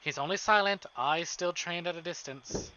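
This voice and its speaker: A male streamer reading visual novel narration aloud in an expressive, dramatic way, with casual asides. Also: fairly high pitch, moderate pace, muffled recording. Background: game music.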